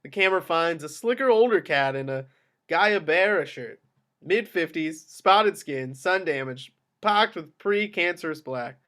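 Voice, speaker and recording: Male narrator, slightly high voice, clipped phrases, reading screenplay action, amateur table read. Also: lively intonation, a little slow, a bit bright. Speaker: narrator reading stage directions.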